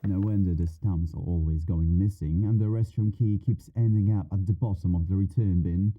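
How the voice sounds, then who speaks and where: A man's voice: sits low, steady tone, moderate pace, narrow, tinny audio, slight background noise, natural voice. A man playing a petulant, mischievous ghost who haunts a library, talking in a sassy, casual, complaining way in an ASMR audio roleplay. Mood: sassy, grumbling.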